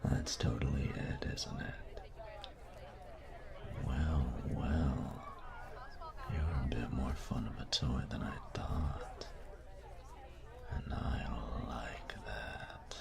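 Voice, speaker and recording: A man playing a cocky, taunting, domineering young bully in an intimate audio roleplay, speaking in a breathy, gravelly half-whisper with slow, teasing menace. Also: rumbling low, heavily acted. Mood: smug, intrigued. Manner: slow, teasing, menacing delivery with drawn-out pauses.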